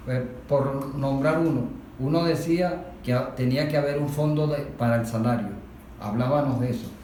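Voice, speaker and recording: A Venezuelan man in a political discussion, explaining things didactically and deliberately, with emphatic points and rhetorical questions. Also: mid-range voice, dark tone. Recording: very echoey room, distant mic, faint noise.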